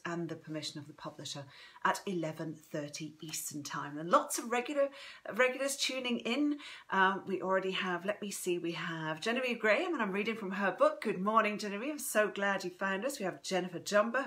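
A woman's voice: medium pitch, sharp tone. A woman in her forties, an author hosting a live reading from home, chatting effusively with a slightly throaty, strained voice and greeting viewers by name. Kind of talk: casual livestream chat. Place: home room, indoors. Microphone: phone propped in front of her.

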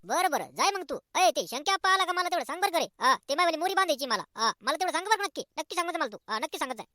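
A chatty, slippery cartoon villager played by a man with a rural Maharashtrian accent. The voice is very high and bright, rattling along quickly in colloquial, excitable speech. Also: no echo, studio quality, full character voice. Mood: chatty, insistent. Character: chatty, evasive village men dodging tax collectors. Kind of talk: comic cartoon dialogue.

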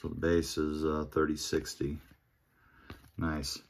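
A man with a deep, low voice talks slowly through a pack opening at his table. He reads out each pull in an easygoing, half-muttered way, as if to himself. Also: gravelly, slight background noise. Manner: murmurs player names one by one, relaxed and unhurried. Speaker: card collector opening packs. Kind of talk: casual pack-opening commentary. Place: home table with card collection display.

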